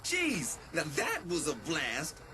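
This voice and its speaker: A man playing a cocky, excitable young American hacker sidekick in a video game, slangy, jokey and streetwise. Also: on the high side, takes it slowly, over loud music, heavily acted. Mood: excited. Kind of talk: video game cutscene acting.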